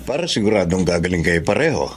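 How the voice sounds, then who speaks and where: A calm male doctor in a Filipino radio soap. He has a lowish voice and a measured, formal delivery, over slight background noise. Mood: calm, clinical. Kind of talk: Filipino radio drama acting.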